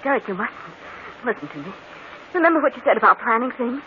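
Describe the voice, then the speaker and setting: A woman plays a guilt-ridden, anxious young accomplice in radio melodrama. Her voice is high, emotional and pleading. The broadcast is thin, with some noise. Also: animated, fast, lo-fi sound, close to an everyday voice. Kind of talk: old-time radio melodrama acting.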